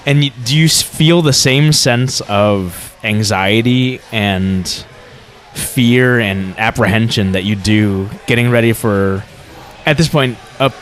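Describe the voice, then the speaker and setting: A man's voice: on the low side, slow. A man hosting a casual podcast interview, whose first language is Vietnamese, asking rambling, self-correcting questions. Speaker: podcast host.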